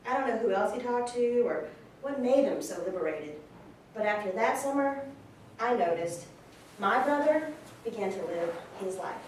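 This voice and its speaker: A woman acting a reflective sister looks back on her brother in a staged monologue. Her voice is on the high side and distant from the mic, swimming in heavy room echo. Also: lively intonation, normal speed, a little mellow, natural voice. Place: live staged reading in a large room. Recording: very echoey hall, distant mic, faint noise.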